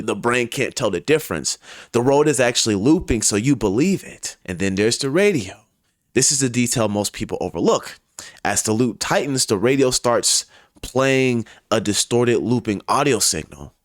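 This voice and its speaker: A man hosting and narrating a scripted video essay, telling the story in a dramatic, emphatic way with deliberate pauses. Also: on the low side, no room sound, studio quality.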